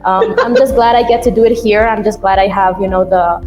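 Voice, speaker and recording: A woman's voice: medium pitch, steady tone, fairly quick, darkish tone. Young woman from Ecuador, echoey room. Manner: informal, chatty. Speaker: interview guest, CRM manager. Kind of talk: casual interview answers. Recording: echoey room, music behind.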